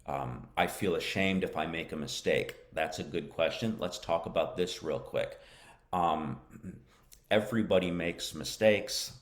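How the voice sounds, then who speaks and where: A deep, low-pitched American man leads a live English lesson, answering viewers' questions as they come in. He talks slowly and encouragingly, repeating his main points so they sink in. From United States. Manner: slow, encouraging, repeats key phrases. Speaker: English teacher.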